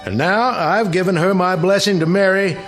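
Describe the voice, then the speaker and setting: A man's voice: high voice, moderate pace, a bit bright. A man playing a proud, hospitable middle-aged farmer father with an Appalachian accent, making a hearty speech in a radio drama. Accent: Southern US Appalachian. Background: music.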